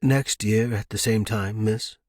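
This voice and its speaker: Man playing a husky, deferential manservant. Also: on the low side, steady tone, measured pace, a little mellow, dry recording, studio quality. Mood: courteous, deferential. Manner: formal, courteous, reserved. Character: discreet, deferential male household servant. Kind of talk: audiobook character reading.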